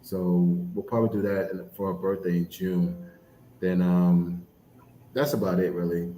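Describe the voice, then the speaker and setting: A man's voice: low voice, level tone, unhurried, warm tone, roomy sound, a little hiss or hum, low-quality recording. A man chatting casually about his travel plans, telling stories at an easy pace and often restarting with repeated words. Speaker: podcast co-host. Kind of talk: casual chat.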